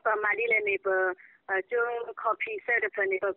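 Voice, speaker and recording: A man's voice: brisk, no echo. A man giving an unscripted interview comment over a narrow, tinny phone line. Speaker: news interviewee.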